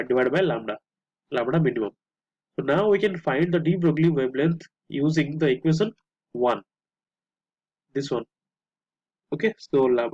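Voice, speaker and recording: Indian guy tutoring step by step, husky voice, halting, dull echoey audio. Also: medium pitch, darkish tone. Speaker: physics tutor. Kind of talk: step-by-step worked-problem lesson. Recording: muffled lo-fi, echoey room sound.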